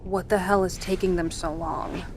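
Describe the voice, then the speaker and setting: A woman's voice: level tone, normal speed, lightly acted. An actress playing a wounded, wary and stubborn female survivor in a naturalistic audio drama. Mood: strained, wry. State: wounded, sighing. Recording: faint low rumbling background noise.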